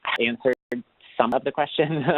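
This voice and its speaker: A man's voice: medium pitch, steady tone, measured pace, dry recording. A man giving a casual, loosely rambling explanation as a program manager on a webinar, over a phone-like connection. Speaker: tax assistance program manager. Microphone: phone or web conference call. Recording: dry, narrow phone-line sound.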